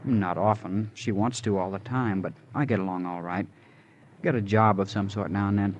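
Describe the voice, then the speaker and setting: An actor playing a gentle, devoted young drifter in old radio drama, talking simply, earnestly and hesitantly. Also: male voice, on the low side, steady tone, moderate pace, lo-fi sound, lightly acted. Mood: earnest, halting. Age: young adult. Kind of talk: 1940s-50s radio drama acting. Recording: noisy vintage radio recording.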